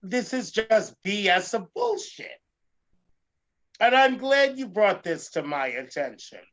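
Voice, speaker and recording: Male drag comedian host, high voice, gravelly edge, theatrical, emphatic, repetitive phrasing, slightly muffled audio. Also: big pitch swings, takes it slowly, fairly bright tone. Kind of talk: animated talk show rant.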